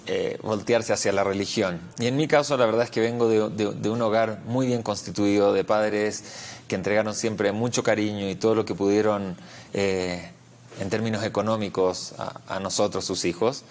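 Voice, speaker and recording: Lower-voiced Chilean man, reflective. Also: steady tone, moderate pace. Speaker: rabbi, interview guest. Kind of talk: reflective interview answers.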